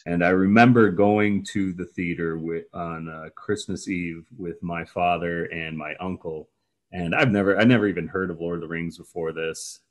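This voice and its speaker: A young man from Minnesota softly recalling a childhood memory in an interview. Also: sits low, steady tone, normal speed. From Minnesota, United States. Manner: soft, reflective storytelling.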